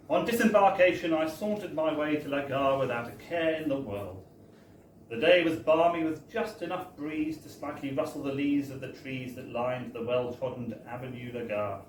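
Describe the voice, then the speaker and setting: A British man reading a wry first-person comic story aloud at a live event, in a chatty, theatrical storytelling manner. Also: mid-range voice. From Britain. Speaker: reader at a book launch. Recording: echoey live bar, distant mic, faint noise.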